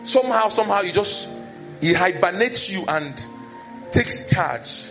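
A man's voice: high-pitched, animated, a little slow, fairly bright tone, faint music behind. A man preaching a conversational sermon that is full of personal stories.

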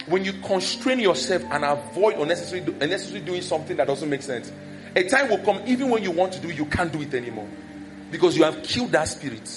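A male preacher speaks in a relaxed, conversational way, mixing teaching with personal anecdotes. His voice sits fairly high for a man. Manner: conversational, telling personal stories. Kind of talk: sermon.

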